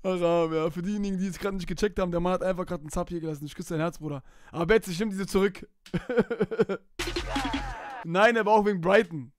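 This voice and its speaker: Excitable young streamer with a high voice. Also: male voice, faint music behind. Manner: excitable, repeats himself, quick laughs. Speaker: streamer reacting to a video. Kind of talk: casual reaction commentary. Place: small room at home, gaming chair. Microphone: boom mic close in front.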